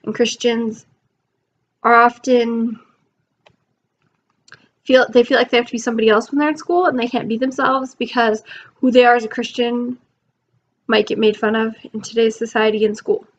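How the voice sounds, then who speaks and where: Young woman, homeschool vlogger, higher voice, recovering from a cold, chatty and filler-heavy; laptop mic, muffled lo-fi audio. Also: flat delivery, moderate pace, long pauses. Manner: chatty, lots of ums, rambling. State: recovering from illness, losing her voice. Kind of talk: casual talk to camera.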